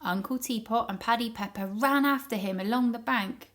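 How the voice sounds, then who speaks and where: A woman reading a story aloud to young children in an expressive storytelling style. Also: fairly high pitch. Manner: pauses to ask the child listeners questions.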